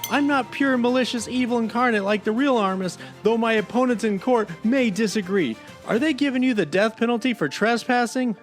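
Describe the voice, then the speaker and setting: A man voicing a brash, self-promoting Vulcan lawyer pitching his services in a parody radio commercial.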